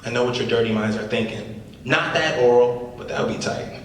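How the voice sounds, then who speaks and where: A man's voice: mid-range voice, a little fast, a bit bright, lightly acted. A man playing a cocky, crude young stand-up comedian telling a story in a script reading. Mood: smug, crude. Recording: very echoey hall, far mic, faint noise.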